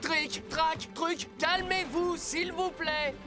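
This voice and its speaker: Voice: extremely high pitch, steady tone, slow. An actor voicing a reassuring, earnest rescue car hero in a French children's cartoon. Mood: urgent, pleading. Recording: loud music behind the voice. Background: music.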